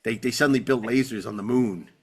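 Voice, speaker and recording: A man's voice: mid-range voice, quick pace, a crowd in the background. Male podcast host, ordinary mid-range voice, casual and chatty, talks like a keen fan. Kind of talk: casual chat.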